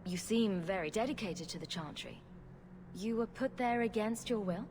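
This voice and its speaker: An actress playing a witty, level-headed young rogue hero in a video game cutscene, wry and direct. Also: female voice, mid-range voice, normal speed, background noise, natural voice. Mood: serious, probing.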